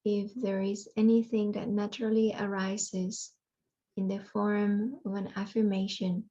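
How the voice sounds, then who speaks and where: Woman doing guided yoga nidra, soft mellow tone, pretty flat pitch, soothing w long pauses. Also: highs cut off. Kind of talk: guided meditation.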